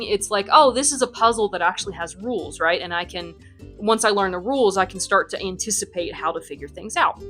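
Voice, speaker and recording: A woman's voice: medium pitch, a bit bright. A young adult woman from the United States, a Spanish teacher who runs her academy, answering interview questions in a chatty way full of ums and likes.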